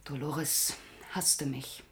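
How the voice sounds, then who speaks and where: A woman's voice: deep voice, steady tone, drawn-out words, slight background noise. An actress playing a proud, grave young woman in a German radio crime drama, speaking tersely and with restraint. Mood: subdued, guarded. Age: young adult.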